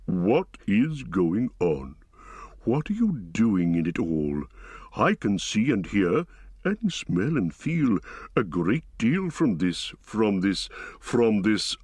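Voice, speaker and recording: A man voicing an ancient, slow and thoughtful tree-shepherd in an audiobook, musing and humming as he speaks. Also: low voice, a little mellow, no echo, exaggerated voice. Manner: slow, deliberate, musing with hums. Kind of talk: audiobook character reading.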